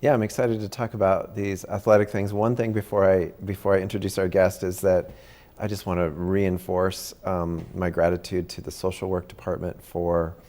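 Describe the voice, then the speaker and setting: A man hosting a campus talk show, speaking in a friendly, halting way with many pauses and ums. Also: low voice, little pitch movement, dark tone. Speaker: host. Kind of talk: casual interview chat.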